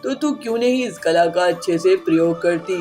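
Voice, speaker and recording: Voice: mid-range voice, moderate pace, darkish tone, faint music behind, lightly acted. A performer voicing a kindly, encouraging father-in-law in a cartoon story, with an affectionate, coaxing manner. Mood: warm encouraging.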